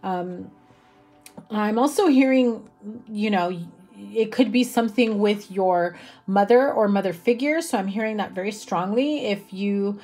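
A young woman giving a casual tarot reading to camera indoors, slightly hoarse from a cough, chatty with many fillers and a soft, reassuring manner. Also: mid-range voice, a little slow, faint music behind. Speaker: tarot reader. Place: indoor room with a candlelit table.

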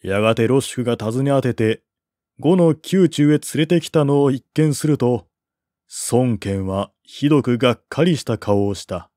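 A man reading literary narration as an audiobook narrator, in a measured, formal storytelling manner. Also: medium pitch, expressive, mellow, no room sound, clean studio sound.

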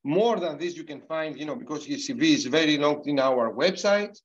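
A man's voice: a little high, lively intonation, moderate pace. Middle-aged male host, accented English, hesitant delivery, dull video-call sound. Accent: non-native English. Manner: hesitant, many fillers and restarts. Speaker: host of an online university webinar. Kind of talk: spoken introduction on a video call. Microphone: computer mic on a video call.

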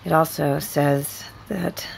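A woman playing a measured, persistent interviewer in a naturalistic audio drama, deliberate and probing. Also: deep voice, steady tone, creaky, slight background noise, full character voice, low-quality recording. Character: measured, persistent interviewer reading a case report.

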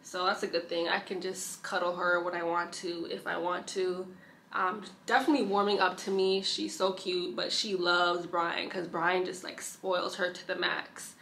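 A woman's voice: fairly low pitch, flat delivery, normal speed, gravelly, a bit bright, slight background noise. A young woman vlogger talking casually to the camera in her bedroom, chatty and gushing, with lots of fillers. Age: young adult. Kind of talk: casual vlog talk to camera. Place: bedroom indoors. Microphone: camera mic, sitting or handheld close.

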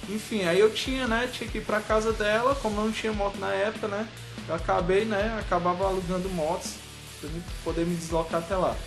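A man, talking casually to camera as a vlogger, in a halting way full of verbal tags. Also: sits high, fairly even intonation. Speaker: motorcycle vlogger. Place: small room at home, indoors. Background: music.

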